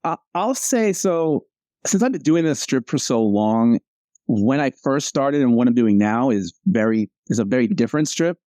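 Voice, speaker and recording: Relaxed, dry-sounding man chatting casually. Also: mid-range voice, normal speed, no room sound. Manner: rambling, self-correcting. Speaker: comic strip cartoonist, interview guest. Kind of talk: casual interview chat.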